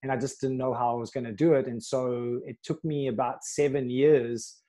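A middle-aged man from South Africa telling his life story as a podcast guest, earnest and steady, with pauses and fillers, on a video call. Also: medium pitch. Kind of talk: candid life story in a conversation. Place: small room with a plain wall. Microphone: wired earbud mic on a video call.

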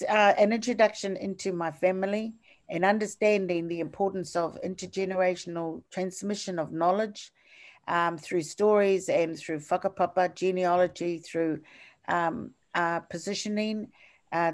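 Older New Zealand woman lecturing, halting. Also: on the low side, a little mellow. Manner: halting, many fillers and restarts. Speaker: professor giving a lecture. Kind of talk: lecture over slides.

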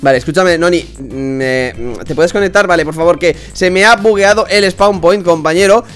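A young man hosting a casual game playthrough, excitable and quick to shout and swear. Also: high voice, soft background music. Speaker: gaming channel host. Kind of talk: casual let's play chat.

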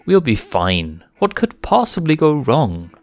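A man's voice: a little high, very animated, unhurried, no room sound, pitched above the performer's own voice. A man voicing a cheeky, carefree young male lion cub in a lightly raised voice. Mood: reassuring.

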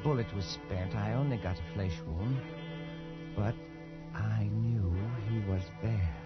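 An actor playing a haunted but defiant colonial adventurer, telling his tale with tense dramatic pauses in old radio style. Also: lowish voice. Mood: grim, brooding. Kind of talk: 1940s radio acting, dramatic first-person narration. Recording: dull old radio recording, faint music.

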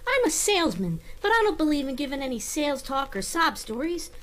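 Woman playing a high-pitched cheeky little boy salesman. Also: very animated, a little fast, a bit bright. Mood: glib, plaintive. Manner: glib sales patter. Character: cheeky young boy salesman, fast-talking little urchin. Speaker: actor in a radio play recreation. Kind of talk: old-time radio comedy acting.

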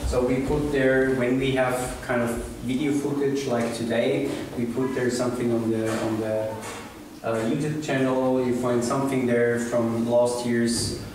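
A man's voice: mid-range voice, little pitch movement, measured pace. Man presenting in an echoey room. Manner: hesitant, many fillers and restarts. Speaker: meetup organizer presenting. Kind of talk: informal presentation talk. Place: small meeting room with seated audience, indoors. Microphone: room camera from the back of the audience. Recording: echoey room, distant from camera, noisy.

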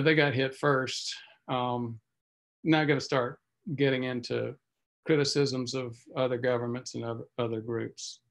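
A man's voice: mid-range voice, creaky. A man in his sixties, a physician hosting a casual video-call update, talking conversationally and reading viewer comments in a self-deprecating way. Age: 60s. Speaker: physician host. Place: home office on video call. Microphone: video call webcam mic.

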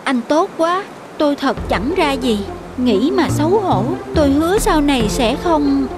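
A woman's voice: high-pitched, lively intonation, a little slow, over music. A woman voicing an embarrassed, wary young woman in a cartoon, with a hesitant, sulky manner. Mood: ashamed. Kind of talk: cartoon moral tale dubbing.

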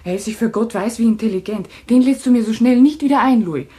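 An actress in a German radio play playing a lady of the house, compassionate yet quick to indignation. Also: on the high side, warm tone, natural voice. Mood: indignant.

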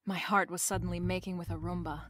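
A woman playing a brisk, bossy, wisecracking private detective in an old-time radio-style mystery drama. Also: lowish voice, little pitch movement, a bit bright, no room sound, faint music behind. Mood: wry, narrating.

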